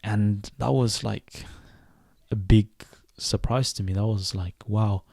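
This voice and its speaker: Low, even-toned reflective young man. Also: gravelly, no echo, clean studio sound. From Sweden. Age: 20s. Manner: thoughtful, measured, with pauses and self-corrections. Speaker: podcast host. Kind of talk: reflective solo podcast monologue.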